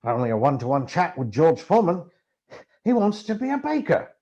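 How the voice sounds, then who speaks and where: A male reader puts on an excitable, earnest male journalist from a storybook. The voice leaps high and swings widely, sounding eager and pleading with every phrase. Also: fairly quick, lo-fi sound.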